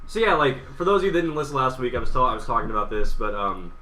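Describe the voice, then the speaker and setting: A man's voice: mid-range voice, expressive, fast talker. A man co-hosting a gaming podcast in casual, sometimes profane chat. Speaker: podcast co-host. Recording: distant mic, bright, faint background noise.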